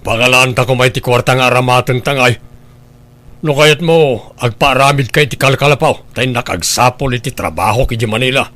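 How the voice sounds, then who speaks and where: A man with an Ilocano accent playing a gruff, quarrelsome husband in a radio drama. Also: medium pitch, normal speed, a bit bright, lightly acted. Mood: exasperated.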